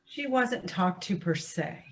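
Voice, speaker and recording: A woman's voice: mid-range voice, lively intonation, takes it slowly. A woman acting in a staged play reading as a defensive, embittered divorcee with an evasive, formal way of talking. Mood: defensive, uneasy.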